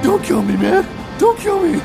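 An actor playing a terrified young male street thug who pleads in a shrill voice. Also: very animated, moderate pace, gravelly, heavily acted. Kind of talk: audio drama acting. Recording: music score behind.

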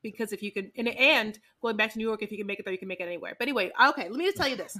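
A woman co-hosting a casual podcast, talking in an animated, playful way and calling people honey. Her voice is bright and forceful. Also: medium pitch, very fast. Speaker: podcast co-host. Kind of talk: casual chat.

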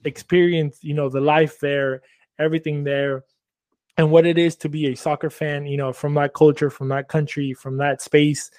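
A man's voice: on the high side, level tone, normal speed, darkish tone, no echo. A man hosting a casual interview, asking loose, rambling questions full of fillers. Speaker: podcast host.

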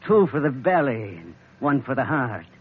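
A man's voice: medium pitch, big pitch swings, moderate pace, husky. An actor playing a ruthless male smuggler villain who speaks broken English in a taunting way. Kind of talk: 1940s radio acting. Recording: muffled old radio broadcast, hiss.

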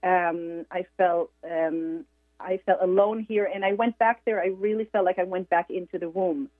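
A woman's voice: on the low side, steady tone, normal speed, no echo. Middle-aged woman on a phone line. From Israel. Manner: reflective, searching for words. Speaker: guest author. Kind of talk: phone-in interview. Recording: dry tinny phone line.

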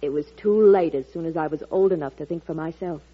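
Young bride, affectionate, teasing, self-assured, slightly husky, muffled 1940s radio play. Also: female voice, deep voice, fast talker. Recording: muffled old radio broadcast.

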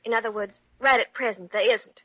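A high, breathy young bride, acted by a woman, devoted and anxious, pleading hesitantly. The sound is a muffled vintage radio play. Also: brisk, natural voice. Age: young adult. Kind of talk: old-time radio drama acting. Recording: muffled, echoey old radio recording.

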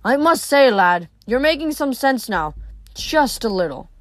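A woman's voice: a little high, very animated, measured pace, no echo, pitched above the performer's own voice. Woman playing a sardonic ferryman of the dead. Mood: greedy. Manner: dry, sardonic, haggling. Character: wry greedy old male ferryman of the dead.

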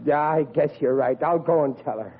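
An actor playing a bumbling, anxious, good-hearted middle-aged family man in old radio comedy, with a husky voice. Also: a little high, brisk, phone-quality sound. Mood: troubled, downcast. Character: bumbling, anxious, good-hearted working-class husband and father. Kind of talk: 1940s-50s radio comedy acting. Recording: thin, band-limited vintage radio recording.

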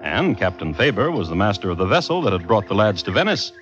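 A man's voice: on the low side, very animated, fast, a bit bright. A man narrating a scripted seafaring radio drama in a storytelling style. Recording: dull old radio recording. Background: music.

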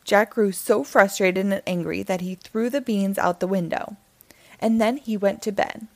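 A woman reading a children's storybook aloud as narrator, in an expressive storytelling style. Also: mid-range voice, moderate pace, no room sound.

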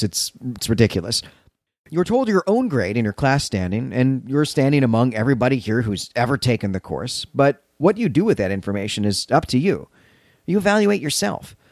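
A man's voice: mid-range voice, a little mellow, no room sound, clean studio sound. A man co-hosting a podcast, giving a conversational, wry recap and commentary on a story. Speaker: podcast co-host.

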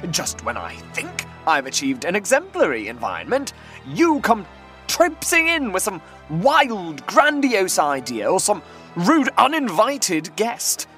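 A woman's voice: medium pitch, huge pitch swings, a little slow, fairly bright tone, faint music behind. A woman playing a vain, easily outraged rival storyteller in a comedic audio drama, theatrical and wordy. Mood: indignant.

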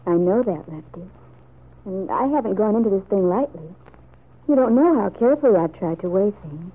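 A woman's voice: medium pitch, lively intonation, normal speed, breathy edge. An actress playing an earnest, resolute young woman seeking approval in an old-time radio serial. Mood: earnest, determined. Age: young adult. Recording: dull, lo-fi vintage radio recording.

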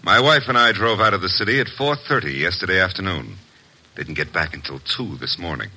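Cold, deep-voiced, haughty husband. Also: male voice, expressive, brisk, pushed and bright, husky, heavily acted, rough recording. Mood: haughty, defiant. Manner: clipped, haughty, dismissive. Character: cold, curt, guarded husband under suspicion. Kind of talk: 1950s radio detective acting.

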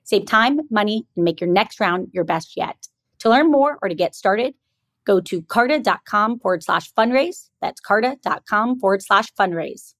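A woman's voice: mid-range voice, animated, moderate pace, a bit bright. A woman hosting a podcast, reading a polished intro and questions in an upbeat way. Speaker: podcast host. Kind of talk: scripted intro and ad read. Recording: faint music under the voice.

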